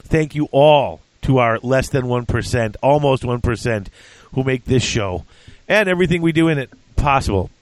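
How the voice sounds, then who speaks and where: A man's voice: medium pitch, normal speed, no echo, rough recording. A man hosting a casual podcast, congested and breathing hard, chatty and self-deprecating with many fillers. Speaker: podcast host.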